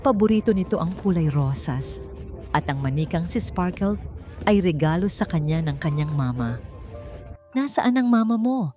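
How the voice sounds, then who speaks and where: A woman's voice: low voice, animated, moderate pace. A woman with a Filipino accent reading a scripted story as an audiobook narrator. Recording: music bed under the voice. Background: background music.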